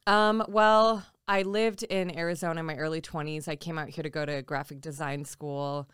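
A woman's voice: deep voice, steady tone, moderate pace, fairly bright tone, clean tone, no echo, studio quality. A woman in her forties from Nebraska, chatting reflectively as a podcast guest, with many fillers and restarts. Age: 40s. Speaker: podcast guest, tattoo artist and jiu-jitsu coach. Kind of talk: casual interview chat.

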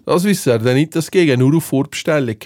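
A man with a Swiss accent telling a casual anecdote in conversation, slangy and animated, acting out what people said. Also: on the high side, brisk, no echo, clean studio sound. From Switzerland. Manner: animated storytelling with slangy swearing and acted-out quotes. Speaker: podcast host telling a personal story.

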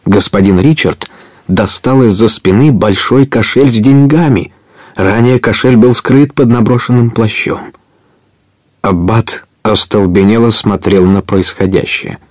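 A man with a somewhat low voice narrates a scripted audio play. He tells the story expressively, in the manner of a storybook reader. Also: measured pace, darkish tone, dry recording, studio quality.

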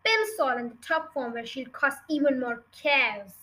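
A woman's voice: sits high, normal speed. A woman voicing a bullying, scornful headmistress who barks and snorts with contempt in a storybook reading. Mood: domineering, angry. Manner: barking, snorting, contemptuous, loud. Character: bullying, scornful adult headmistress, giant and bellowing.

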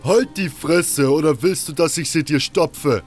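Man doing a brutish guard, snarling, threatening, raised pitch, loud, audiobook. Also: a little slow, soft background music, natural voice. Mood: furious. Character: hot-tempered brutish male prison guard.

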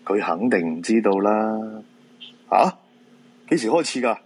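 A man's voice: fairly low pitch, lively intonation, unhurried, husky, heavily acted. A man with a Hong Kong Cantonese accent playing a weary middle-aged feng shui master and father in a radio drama, resigned and down-to-earth. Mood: weary, uneasy.

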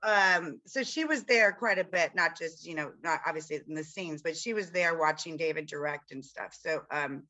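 A middle-aged woman with a deep raspy voice, chatting casually as a podcast guest and telling self-deprecating stories about her acting career. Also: moderate pace, few pauses, sharp tone. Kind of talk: casual chat.

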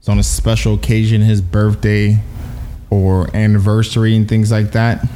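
A man's voice: lowish voice, little pitch movement, moderate pace, warm tone, slight background noise. A man co-hosting a casual chat, talking emphatically, often repeating and restarting his words and breaking into laughs.

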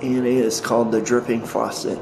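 A man hosting a podcast, introducing episodes in a halting, phrase-by-phrase delivery. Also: medium pitch, flat delivery. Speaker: podcast host introducing old-time radio shows. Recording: home recording with clear background noise.

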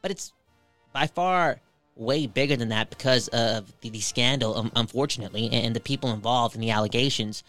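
A man's voice: medium pitch, brisk, fairly bright tone, faint music behind. A man hosting a casual sports talk show, emphatic and slangy, repeating phrases and swearing freely. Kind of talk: casual sports commentary chat.

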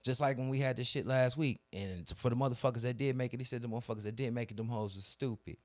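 A man's voice: mid-range voice, fast, no room sound. A young man telling a long, profane story in casual podcast chat, acting out both sides of the arguments. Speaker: podcast co-host.

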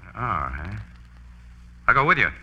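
Newsman sleuth, forties radio crime show: shrewd, brisk, slightly low, very sharp voice on dulled vintage audio. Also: sing-song, husky, exaggerated voice. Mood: decisive. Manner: brisk, informal, sure of himself. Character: shrewd, assured male newspaper photographer sleuth. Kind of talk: 1940s radio crime drama acting. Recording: dull vintage radio recording.

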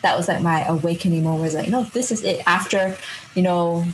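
A woman's voice: fairly low pitch, fairly quick, slight background noise, low-quality recording. A young woman from Singapore talking reflectively as a podcast guest, chatty, with lots of filler words and frequent laughter. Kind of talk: reflective conversational storytelling.

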